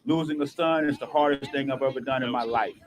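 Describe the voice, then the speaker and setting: A man's voice: mid-range voice, fast. A middle-aged man making a plain-spoken public statement outdoors, as a bereaved father.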